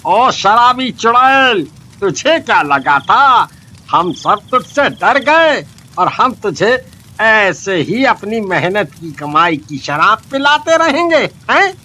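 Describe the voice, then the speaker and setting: A man playing an agitated villager in a cartoon story, emphatic and drawn-out in his delivery. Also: sits high, sing-song, bright, forceful tone, heavily acted. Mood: defiant. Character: agitated, pleading village man in a witch tale. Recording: clear background noise and ambience.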